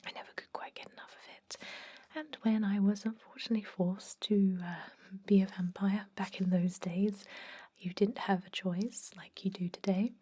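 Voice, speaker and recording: A woman playing a chatty but gently stern vampire dentist in a whispered ASMR roleplay, rambling in a confiding way with a breathy voice. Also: medium pitch, fairly even intonation, normal speed, close to an everyday voice. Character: chatty long-lived female vampire dentist, garlic-obsessed, gently stern. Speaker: ASMR performer.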